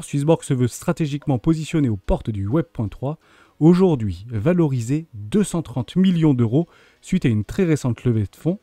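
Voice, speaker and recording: Mellow-voiced French-speaking male host. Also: medium pitch, dry recording. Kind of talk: scripted interview intro then questions.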